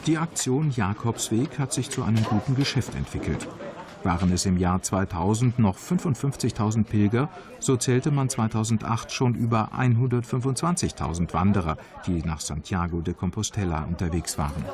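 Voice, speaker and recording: Low-voiced male documentary narrator. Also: crowd noise. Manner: calm, measured, informative. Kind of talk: scripted travel documentary narration. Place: voice-over studio. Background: background music.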